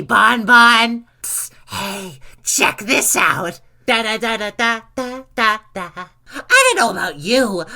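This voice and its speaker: A woman voicing a manic, excitable showman bear animatronic in a horror game, theatrical and prankish. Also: fairly high pitch, creaky, full character voice.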